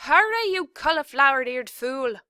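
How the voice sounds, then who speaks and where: Woman doing a shrill, shouting bossy cook. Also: big pitch swings, a little slow, dry recording, clean studio sound, exaggerated voice. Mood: shrieking. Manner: shouting, harsh, coarse speech.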